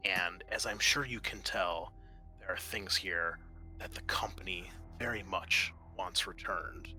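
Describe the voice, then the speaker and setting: A calculating company scientist woman in a tabletop game, played by a man in his own lowish register. The delivery is bargaining and explanatory, with a sharp, bright edge. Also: measured pace, slight background noise, exaggerated voice. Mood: businesslike persuasion. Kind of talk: in-character tabletop roleplay dialogue.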